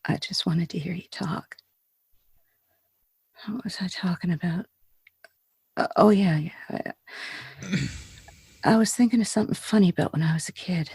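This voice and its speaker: A woman playing a jaded, frightened film star from the slums in a 1940s-style radio drama, breathy, halting and slangy, brooding with bitter wistfulness. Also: fairly low pitch, darkish tone, dry recording, heavily acted. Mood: wistful. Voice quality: husky, breathy.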